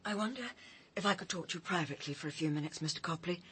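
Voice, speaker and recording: A woman's voice: on the low side, racing, fairly bright tone, a little hiss or hum, natural voice. An actress playing a troubled, earnest woman seeking guidance in an intimate radio drama. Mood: troubled, questioning.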